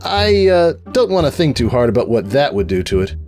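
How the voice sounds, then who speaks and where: A man's voice: medium pitch, very animated, fairly quick, a little mellow, faint music behind, pitched above the performer's own voice, natural voice. A man voicing a young female undead pony sorceress, stubbornly optimistic, eager and breezy in a comic storybook reading. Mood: cheerfully dismissive. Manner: eager, breezy, brushing off problems.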